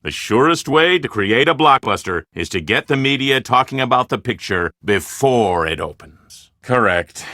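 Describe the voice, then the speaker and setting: A man's voice: fairly low pitch, sharp tone, no echo, studio quality. A man playing a scheming, self-important film producer in a video game, speaking in a formal, emphatic, theatrical way. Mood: grave, desperate.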